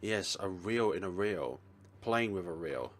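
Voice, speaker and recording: A man's voice: deep voice, lively intonation, unhurried. A man hosting a let's play, mixing casual, wry commentary with reading the game text aloud.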